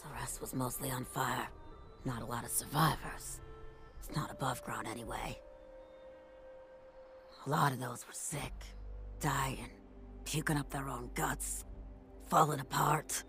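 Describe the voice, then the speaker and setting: A woman's voice: rumbling low, steady tone, unhurried, long pauses, over music. An actress voicing a tough, blunt ghoul woman from Pittsburgh who survived the bombs as a factory worker, talking in a wry, plain-spoken way. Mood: somber. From Pittsburgh, USA. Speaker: game character. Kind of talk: video game dialogue.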